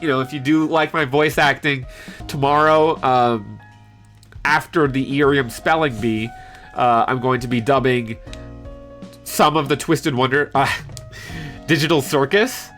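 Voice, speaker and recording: A man chatting casually on a live stream in an animated, hesitant way, reading out and answering viewer comments. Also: medium pitch, measured pace, pauses often. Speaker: livestreamer and amateur voice actor. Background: piano background music.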